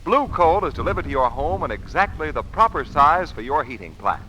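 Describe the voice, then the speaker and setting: Male old-time radio announcer, slightly high, bright voice, polished emphatic ad read, light hiss. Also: very animated, brisk, low-quality recording. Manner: polished, emphatic salesman delivery. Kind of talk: scripted vintage radio advertisement. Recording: hissy vintage radio recording.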